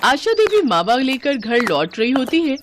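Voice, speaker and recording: A woman's voice: high voice, very animated, measured pace, background noise. A woman narrating a scripted moral story as a storyteller.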